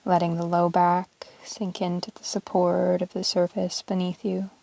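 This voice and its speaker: Slow, soothing woman guiding meditation. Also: lowish voice, creaky, no echo. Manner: calm, soothing, measured with long pauses. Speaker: yoga teacher guiding a meditation. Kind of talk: guided yoga nidra meditation, relaxation narration.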